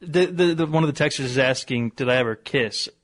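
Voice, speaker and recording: A man's voice: mid-range voice, brisk. A young American man from Missouri telling stories casually on talk radio, rambling and self-deprecating. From Missouri, United States. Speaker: sports columnist, radio guest.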